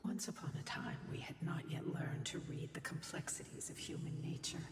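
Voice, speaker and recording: A woman voicing an ancient, gentle female spirit guardian in a game, speaking solemnly and breathily with a ghostly reverb. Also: deep voice, big pitch swings, brisk, vocal fry, background noise, heavily acted. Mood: solemn, wistful. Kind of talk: solemn scripted game dialogue. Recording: long echoing reverb, distant, music behind. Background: game music.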